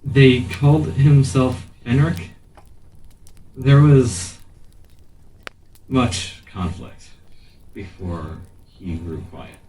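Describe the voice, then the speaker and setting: A man's voice: mid-range voice, very slow, long pauses, dark tone. A man voicing an earnest, uncertain fantasy adventurer in a tabletop game, speaking in grave, broken phrases. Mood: measured, hesitant. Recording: roomy, distant mic, faint noise.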